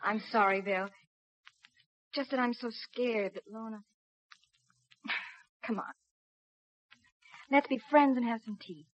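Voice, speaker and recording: A woman's voice: fairly high pitch, slow pace, pauses often, very noisy. An actress playing an earnest, anxious young woman with emotional delivery in a 1950s radio drama. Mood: scared, softening. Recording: muffled old radio recording.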